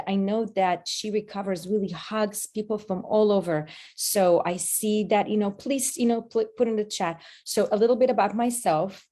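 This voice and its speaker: A lively woman in her forties speaks with an Israeli accent, her Hebrew roots clear in her English. She talks directly to her audience and keeps drawing them in as she goes. The sound has the feel of a video call. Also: medium pitch. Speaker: guest speaker. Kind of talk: live online talk.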